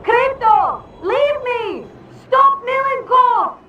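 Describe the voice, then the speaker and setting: An actress playing a passionate, frantic Soviet spy in a video game, with a Russian accent. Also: female voice, very high-pitched, lively intonation, extremely slow, a little hiss or hum, full character voice. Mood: desperate shouting. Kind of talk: video game cutscene acting. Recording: echoey, distant, muffled game audio.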